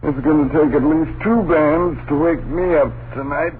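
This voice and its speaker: Man acting a gruff American husband, tinny old radio. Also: on the high side, steady tone, slight background noise, close to an everyday voice. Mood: drowsy, dismissive. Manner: gruff, slangy, drawn-out exasperated reassurance. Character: sleepy, dismissive husband and father in a spooky old house. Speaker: radio drama actor.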